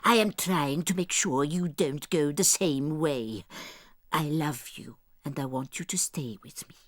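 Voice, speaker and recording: An actress playing a doting, gravely dramatic old Norwegian grandmother in an audiobook drama, telling things with theatrical emphasis. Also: low-pitched, no echo, studio quality. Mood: earnest, insistent. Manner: theatrical, emphatic, slow and deliberate storytelling.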